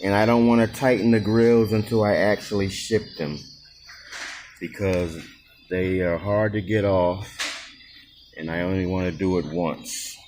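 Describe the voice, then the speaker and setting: A man's voice: low-pitched, fairly even intonation, unhurried, darkish tone, a little hiss or hum. A man giving a casual, unscripted demonstration as a seller, thinking aloud in a plain, hesitant way. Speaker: online seller demonstrating used goods. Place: indoor room, behind a handheld camera. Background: music from speakers being tested.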